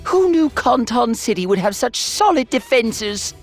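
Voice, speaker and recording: Haughty, swooping alien tyrant. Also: female voice, a little high, big pitch swings, measured pace. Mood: smug. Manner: haughty, formal, grandiose. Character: imperious scheming alien tyrant, cold and theatrical. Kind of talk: anime video game voice acting. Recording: music behind the voice.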